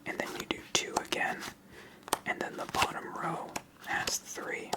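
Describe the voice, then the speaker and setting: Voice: unhurried, low-quality recording. An ASMR host speaks entirely in a whisper. The delivery is a methodical card game walkthrough, explaining each move step by step.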